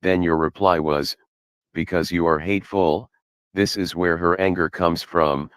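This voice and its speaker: A man giving measured, critical reaction commentary as a commentator over a clip. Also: low voice, steady tone, no room sound, studio quality.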